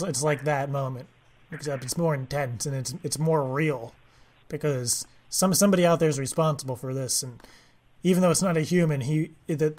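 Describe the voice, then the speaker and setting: A man making a prank call, speaking in a deadpan, mock-earnest way with halting, rambling delivery. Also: mid-range voice. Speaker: prank caller, host of a prank call show.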